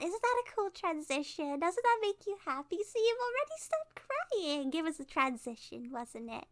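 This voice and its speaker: Woman playing a high-pitched giddy kidnapper girl. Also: fairly bright tone, exaggerated voice. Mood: coaxing. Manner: rambling, halting, giggly, playful. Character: cute giddy awkward kidnapper girl, cheerfully menacing. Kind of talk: ASMR roleplay, casual rambling monologue.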